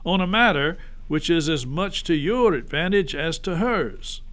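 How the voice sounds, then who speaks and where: A man's voice: a little high, expressive, measured pace, fairly bright tone, voice pushed up. A man voicing a formal male dwarf messenger in a storybook, ceremonious and pompous.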